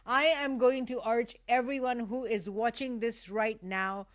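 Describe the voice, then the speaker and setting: A woman's voice: on the high side, fairly even intonation, unhurried, dry recording, clean studio sound. A woman whose first language is Urdu, hosting a casual live talk show with an effusive, emphatic manner that repeats phrases for emphasis.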